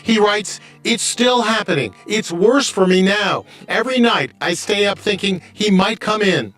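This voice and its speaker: High-pitched male news reporter over music. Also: a little slow, fairly bright tone. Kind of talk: scripted news narration.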